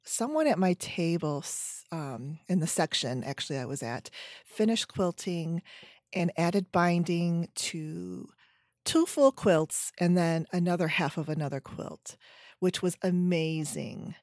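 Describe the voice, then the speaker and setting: A woman in her forties hosting a solo podcast chat at home, her voice scratchy from a sinus infection, with lots of ums and trailing pauses. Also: lowish voice, lively intonation, a little mellow, no room sound, studio quality. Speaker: podcast host. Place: home room with bookshelves. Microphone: headset.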